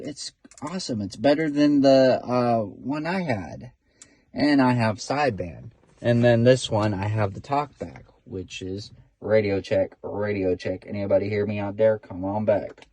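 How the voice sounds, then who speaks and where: A man's voice: mid-range voice, a little mellow. A man talking casually from inside his car in his first vlog, rambling with lots of fillers and restarts. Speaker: vlogger, CB radio hobbyist.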